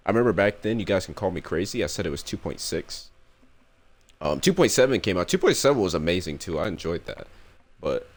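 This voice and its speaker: A young man streaming his reaction to a game, excited and slangy, swearing freely. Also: low voice, fairly quick. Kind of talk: casual reaction commentary.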